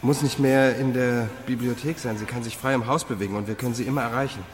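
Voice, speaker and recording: A man's voice: medium pitch, fairly even intonation, fast talker, natural voice. Man as earnest programmer in long reverb. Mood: enthusiastic. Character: earnest, visionary male AI programmer, devoted to his creation. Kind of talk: radio drama acting. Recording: long reverb, music behind.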